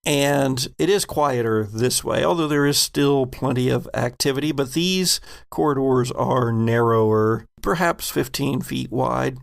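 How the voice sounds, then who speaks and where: A man acts as game master, describing scenes off the cuff in a halting, measured way. His voice is heavy with fry and takes its time. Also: mid-range voice. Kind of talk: improvised scene narration for a tabletop game.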